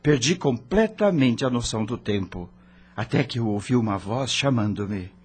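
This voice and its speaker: A man's voice: mid-range voice, expressive, normal speed, slightly rough. An actor playing an anguished dead male rock star, narrating his own story in a theatrical, brooding radio drama style.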